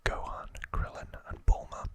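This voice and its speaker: A man hosting ASMR in a breathy, unvoiced whisper, reading and commenting in a hushed, deliberate way. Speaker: ASMR host.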